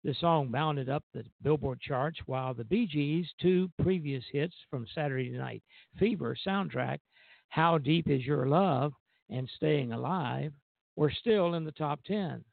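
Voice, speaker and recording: A man's voice: fairly high pitch, measured pace, creaky, no room sound. A man hosting a music radio show, reading out song history and often stumbling and correcting himself. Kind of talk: music history commentary, partly read from notes. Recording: tinny phone-like narrowband sound, dry.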